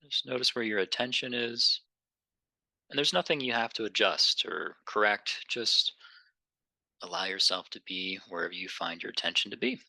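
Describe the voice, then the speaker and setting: A man leading a guided meditation in a gentle, unhurried, conversational way, pausing between phrases. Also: fairly low pitch, gravelly, no echo, low-quality recording.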